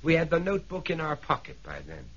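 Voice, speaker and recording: An actor playing a dry, blunt, confrontational professor in a 1970s radio drama. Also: male voice, fairly high pitch, huge pitch swings, fast talker, fairly bright tone, slightly rough, exaggerated voice. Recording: dull 1970s radio recording.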